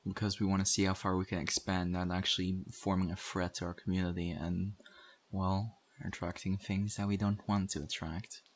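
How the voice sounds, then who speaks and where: A man's voice: low-pitched, little pitch movement, moderate pace. A man acting as a weary survivor in a zombie-apocalypse audio roleplay, talking conversationally and straight to the listener as a community right-hand man. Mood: serious, businesslike. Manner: conversational, urging, mildly exasperated.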